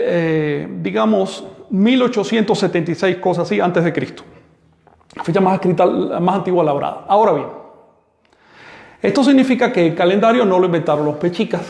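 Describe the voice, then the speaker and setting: A man teaches a live class, emphatic and firm as he answers questions. His voice sits high for a man, and the room around him rings with a long, echoey tail. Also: vocal fry, a little mellow.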